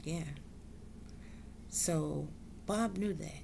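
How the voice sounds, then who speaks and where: Woman vlogger, low gravelly voice, unhurried and reflective. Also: darkish tone, slight background noise. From South Carolina, US. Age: adult. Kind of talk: slow reflective monologue.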